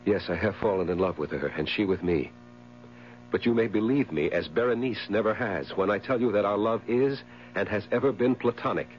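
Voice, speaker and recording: An actor in a 1970s radio drama playing a tormented, brooding husband, speaking in a grave, formal, melodramatic way. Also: male voice, fairly low pitch, fairly quick, lightly acted. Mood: earnest. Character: tormented, brooding husband loathing his dying wife. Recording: muffled 1970s radio recording with hum.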